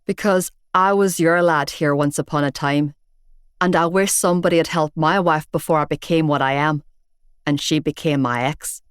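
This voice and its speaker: Gruff older man with a Belfast accent, as a female narrator puts him on, big, slangy, reassuring, low, dry close sound. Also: steady tone, normal speed, dry recording, close to an everyday voice. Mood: rueful. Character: big older bearded male gangster, gruff but kind.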